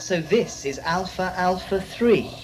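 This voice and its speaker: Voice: medium pitch, lively intonation, a little slow, lightly acted, poor audio. Keen young male companion, acted out: impudent, chatty, lots of lift and drop, sci-fi audio drama with music. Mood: eager. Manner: cheeky, eager, chatty. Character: cheeky, eager young sidekick lad Greg. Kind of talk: radio drama acting. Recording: music behind the voice.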